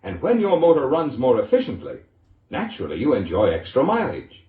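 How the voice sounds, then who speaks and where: An echoey, dull-sounding vintage radio recording carries an American man announcing a commercial in 1940s style. His pitch moves in lively swings as he delivers polished, emphatic scripted sales copy. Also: brisk. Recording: vintage radio recording, roomy echo, muffled lo-fi.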